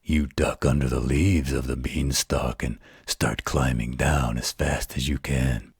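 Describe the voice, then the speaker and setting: An extremely low-voiced, gentle werewolf, acted by a man, tells a bedtime story at an unhurried pace. The voice is very raspy and soothing, protective and tender, as in an intimate roleplay audio. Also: fairly even intonation, no room sound, clean studio sound, heavily acted. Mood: hushed storytelling. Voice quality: gravelly, husky.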